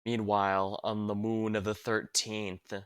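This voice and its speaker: A male game streamer with a slightly low voice, recorded dry. He comments casually and haltingly, dropping into reading the game's text aloud. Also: flat delivery, clean tone, no echo, faint music behind.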